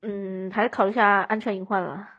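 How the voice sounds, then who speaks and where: A woman's voice: mid-range voice, flat delivery, brisk, a bit bright, lo-fi sound. A young adult woman in a casual podcast chat, speaking in a sensible, explanatory way.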